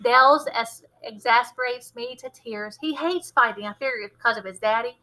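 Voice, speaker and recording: A woman reading a children's novel aloud like a teacher, with animated, dramatic storytelling. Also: fairly high pitch, normal speed, poor audio. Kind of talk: expressive storybook narration.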